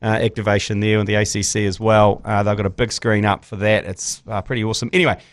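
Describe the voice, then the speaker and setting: A New Zealand man hosting a casual sports podcast, rambling and slangy, his voice hoarse. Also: fairly low pitch, flat delivery, quick pace, no echo. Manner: laid-back, rambling, slangy. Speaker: podcast host.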